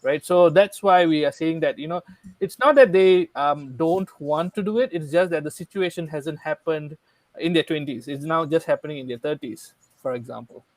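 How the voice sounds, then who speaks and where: Man, podcast guest, explanatory, tag questions, fairly high voice, dry close mic. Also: moderate pace, dry recording, rough recording. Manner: earnest, explanatory, frequent "right?" tag questions. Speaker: guest speaker. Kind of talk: conversational podcast discussion.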